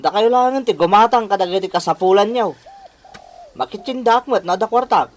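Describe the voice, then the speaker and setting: A man's voice: high-pitched, moderate pace, natural voice. A man playing a plain-spoken grown son in an Ilocano radio comedy drama, with an Ilocano accent. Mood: matter-of-fact.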